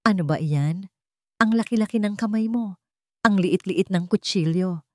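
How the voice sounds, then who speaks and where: A woman's voice: mid-range voice, normal speed, a little mellow, no echo, natural voice. A woman voicing a young mother who teases in a caring way, in a romantic audio drama. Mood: teasing. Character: gently teasing young mother, former sweetheart of the hero.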